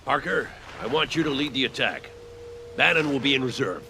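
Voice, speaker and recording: An actor playing a stern, decisive American army colonel in a game cutscene, curt and commanding, with an American accent. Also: male voice, expressive, brisk, close to an everyday voice. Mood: resolute. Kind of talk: dramatic game cutscene acting. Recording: music and vehicle rumble behind.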